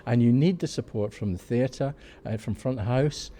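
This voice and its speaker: A man's voice: mid-range voice, normal speed, mellow. Emphatic mature man in casual interview. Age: middle-aged or older. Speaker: pantomime dame actor and director.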